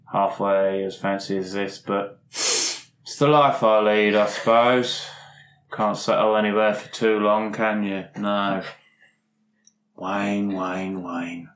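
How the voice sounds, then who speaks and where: Man acting a low, smug, corrupt businessman. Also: fairly bright tone, echoey room, heavily acted. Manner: slow, musing, self-amused. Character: smug, corrupt businessman, needling and self-satisfied.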